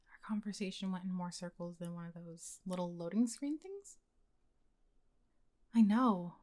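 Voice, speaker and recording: A young woman acting as a blunt, secretly smitten best friend in an intimate, conversational ASMR roleplay, with a casual, expressive delivery. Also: lowish voice, slow pace, pauses often. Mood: disappointed. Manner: casual, expressive, sighing, sweary.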